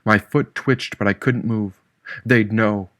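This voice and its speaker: A man's voice: on the low side, fairly even intonation, slow pace, fairly bright tone, no echo, clean studio sound. A man reading a first-person novel aloud as a scripted audiobook narrator. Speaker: audiobook narrator, author reading own book.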